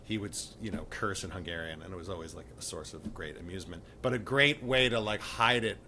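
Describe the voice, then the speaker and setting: A man's voice: low voice, fast, bright, forceful tone, a little hiss or hum. A middle-aged man comedian on a casual comedic panel, talking in a rambling, hesitant way with dry wit.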